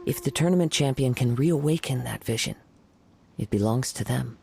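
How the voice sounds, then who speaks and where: A calm young wandering poet with a gravelly, husky-edged voice. He speaks softly and measuredly, choosing poetic words. His pitch sits at an ordinary middle level. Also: soft background music. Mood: thoughtful. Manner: soft-spoken, poetic and measured. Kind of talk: game cutscene voice acting.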